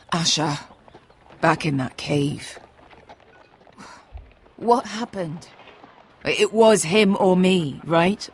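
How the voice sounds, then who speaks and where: An actress playing a tough, blunt, wounded mercenary woman in a video game, gruff and bitter in manner. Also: low voice. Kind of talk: dramatic video game voice acting. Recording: faint background ambience noise.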